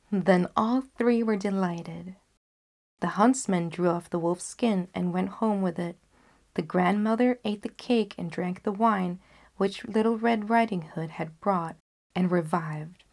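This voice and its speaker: A young woman storyteller narrating a scripted fairy tale in a careful, measured read-aloud manner. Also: fairly low pitch, a little mellow. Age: young adult. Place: small curtained indoor space, seated.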